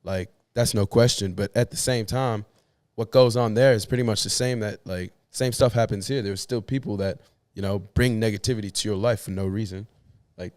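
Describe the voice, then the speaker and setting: A man's voice: lowish voice, darkish tone, no echo, studio quality. A man, a podcast guest talking casually and thoughtfully, often restarting and repeating words as he searches for how to put things. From Australia. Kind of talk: casual conversation.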